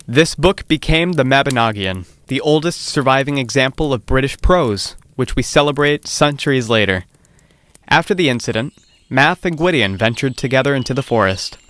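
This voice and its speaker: A man's voice: medium pitch, fairly bright tone, no room sound, close to an everyday voice. A man playing a travelling storyteller, narrating a fantasy legend in a theatrical scripted style.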